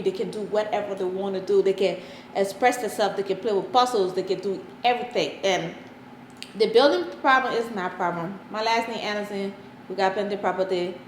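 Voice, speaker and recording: Female interview guest, Liberian accent, plain-spoken and emphatic delivery, recorded in a reverberant room with slight background noise. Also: medium pitch. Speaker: interview guest, parent advocate. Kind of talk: personal interview talk. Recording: roomy echo, faint background noise.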